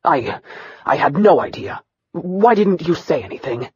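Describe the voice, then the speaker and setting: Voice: mid-range voice, very animated, measured pace, a little mellow, breathy edge, dry recording, dull audio, heavily acted. A narrator voicing a middle-aged emperor who speaks in a shaken, apologetic way. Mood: remorseful.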